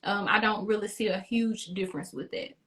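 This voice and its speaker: A woman's voice: mid-range voice, steady tone, fairly quick, background noise. A woman hosting a casual beauty chat, talking in a playful, encouraging and chatty way. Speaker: beauty livestream host.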